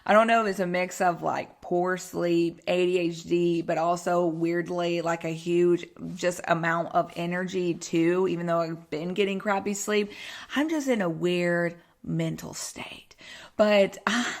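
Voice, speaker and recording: A woman's voice: fairly low pitch, steady tone, measured pace. A woman with a Texan accent hosting a casual solo podcast, rambling in a bubbly, informal, self-deprecating way. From Texas, United States. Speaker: podcast host and health coach.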